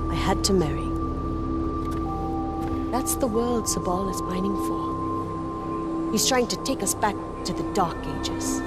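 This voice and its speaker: An actress playing a fierce, ambitious young woman who leads a rebel army in a video game cutscene. She speaks in a forceful, impassioned and persuasive way. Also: on the low side. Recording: loud game score behind.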